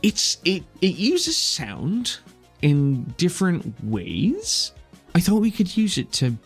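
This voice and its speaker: A woman's voice: lowish voice, huge pitch swings, breathy edge, pitched above the performer's own voice, heavily acted. Hesitant young female tinkerer for a tabletop game, earnest and awkward, played by a woman, over faint music. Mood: hesitant, modest. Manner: hesitant, halting.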